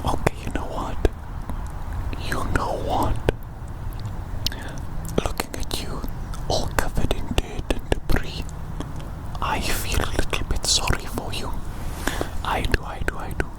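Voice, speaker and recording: An ASMR roleplayer speaking in a breathy, unvoiced whisper, gently repeating phrases as if talking to a small creature. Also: measured pace, pauses often, poor audio. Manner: gentle, repetitive, addressing listener as a little creature.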